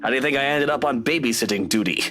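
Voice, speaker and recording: A man's voice: mid-range voice, racing, fairly bright tone, a little hiss or hum, natural voice. A man voicing an impatient, blunt young father. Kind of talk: fan dub of a visual novel.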